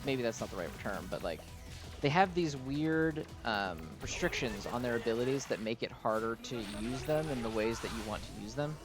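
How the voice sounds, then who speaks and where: A man's voice: medium pitch, expressive, moderate pace, over music. Gushing man doing casual gameplay commentary. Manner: gushing, enthusiastic, exclamatory. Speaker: gaming video host.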